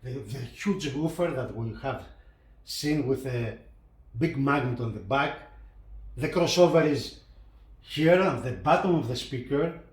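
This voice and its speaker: A man presenting a hi-fi review in a casual hands-on style, talking haltingly and repeating phrases as he points things out. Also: mid-range voice, expressive. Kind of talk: casual hands-on video commentary.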